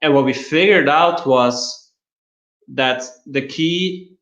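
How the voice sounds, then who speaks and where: A man's voice: mid-range voice, slow, fairly bright tone. A man from Belgium, explaining things casually and step by step as an interview guest. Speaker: interview guest, LinkedIn marketer. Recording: roomy, slightly dull online-call audio.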